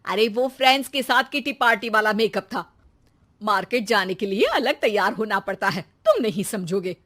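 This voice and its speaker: A woman voicing a vain, quick-tempered, makeup-obsessed mother-in-law with a huffy, haughty, scolding manner. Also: medium pitch, very animated, fairly bright tone.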